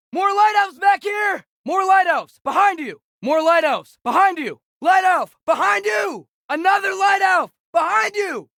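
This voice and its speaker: A teenage boy fighter in a video game, his very high voice bright and edged with gravel. He shouts clipped, urgent battle warnings to his father, recorded close and dry. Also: no echo, exaggerated voice. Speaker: game companion character. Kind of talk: video game combat callouts.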